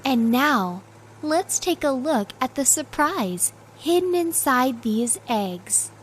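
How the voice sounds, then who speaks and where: Slow, high-voiced woman narrating for children. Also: lively intonation, background noise. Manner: simple, short phrases with careful word-by-word teaching. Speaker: narrator of an educational children's cartoon. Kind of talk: scripted educational narration for young children.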